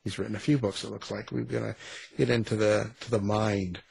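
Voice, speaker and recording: Guy hosting a casual interview, kinda jokey and rambly, raspy voice with lots of fry. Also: lowish voice, fairly even intonation, fairly quick, a little mellow. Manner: joking, rambling. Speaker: show host interviewing an author.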